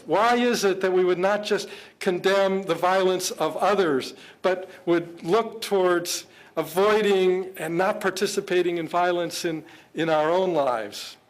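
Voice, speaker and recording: An older man giving a speech in a fairly high voice. He speaks earnestly, stops often and repeats words before going on. The remarks sound partly prepared and partly worked out as he talks. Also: low-quality recording. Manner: halting, often repeats words while searching for phrasing; earnest, measured. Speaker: government official speaking at an interfaith event.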